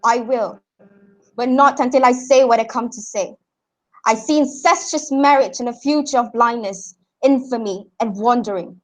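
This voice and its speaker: A woman's voice: on the high side, normal speed, slight background noise, natural voice, rough recording. A young woman playing a stern, defiant, grieving seer of ancient Greece in an amateur radio drama. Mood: stern, accusing. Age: young adult. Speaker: student actor.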